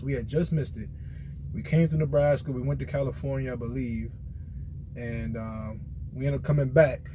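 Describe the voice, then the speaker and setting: Mellow American man over background noise. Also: medium pitch, poor audio. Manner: conversational storytelling, informal. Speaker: truck driver vlogger giving a product opinion. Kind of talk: casual rambling vlog monologue.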